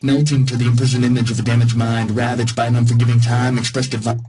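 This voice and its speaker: Man, rapid spoken verse, flat intonation, vocoder-processed voice, noisy vocal sample. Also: medium pitch. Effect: vocoder with formant shifting and distortion.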